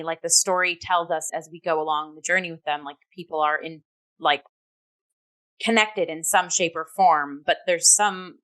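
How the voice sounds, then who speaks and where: A woman's voice: low-pitched, a bit bright. A woman co-hosting a casual review chat, conversational and emphatic as she searches for words. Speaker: talk show co-host and theatre reviewer.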